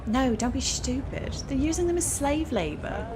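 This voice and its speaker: A woman's voice: high-pitched, animated, normal speed, noisy background. A woman playing a self-righteous, muddled young British protester in a naturalistic audio comedy, talking casually and confidently with bossy certainty. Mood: casually know-it-all. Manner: confident, preachy, casual slangy talk.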